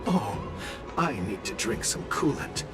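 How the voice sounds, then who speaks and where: A man's voice: mid-range voice, big pitch swings, measured pace, vocal fry, music in the background. An actor voicing a wry, impatient male robot in a video game, speaking in stilted, formal, analytical phrases. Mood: weary. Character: male robot tourist, wry, impatient, mechanical-minded gambler.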